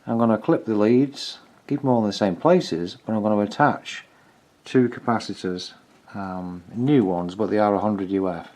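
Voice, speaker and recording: Male hobbyist repairer for a vlog: lowish pitch, dark mellow tone, informal, tentative think-aloud commentary. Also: normal speed. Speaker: hobbyist repairer presenting own video. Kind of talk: casual hands-on repair commentary.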